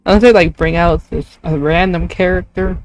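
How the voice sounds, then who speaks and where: A woman's voice: lowish voice, steady tone, normal speed, darkish tone, poor audio. A woman hosting a casual gaming stream, giggly and playfully dramatic as she chats. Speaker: livestream host. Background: background game music.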